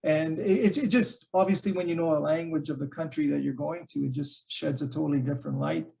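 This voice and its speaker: Gravelly-voiced man, chatty interview guest. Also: fairly high pitch, fairly even intonation, brisk, mellow, slight background noise. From Canada. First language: Calabrese Italian dialect. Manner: thinks aloud with many fillers and restarts. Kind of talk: relaxed conversational interview. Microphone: video call.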